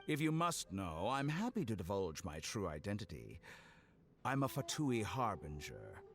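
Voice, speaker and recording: Game villain: a low, unhurried, mockingly courteous mad scientist, sweeping intonation, music behind. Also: male voice, very animated, a bit bright. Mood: smug, gloating. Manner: slow, deliberate, mockingly courteous. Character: suave, sadistic villain scientist, calm and mockingly polite.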